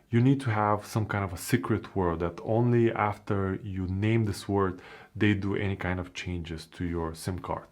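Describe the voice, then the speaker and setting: A man's voice: fairly low pitch, darkish tone. A man with a Bulgarian accent, a native Bulgarian speaker, explaining online security step by step in a plain teaching manner with frequent fillers. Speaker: crypto security educator. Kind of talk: explainer video narration.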